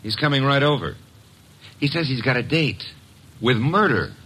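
A man's voice: mid-range voice, normal speed, heavy background noise, natural voice. A man playing a breezy wisecracking young assistant detective. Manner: breezy, lively. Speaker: narrator and assistant. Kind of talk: 1940s radio acting.

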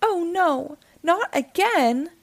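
Woman voicing an excitable, boastful storybook cat, swooping pitch. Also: takes it slowly, full character voice. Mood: panicked. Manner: excitable, dramatic. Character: eager, boastful, easily flustered male witch's cat. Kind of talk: animated children's storybook character reading.

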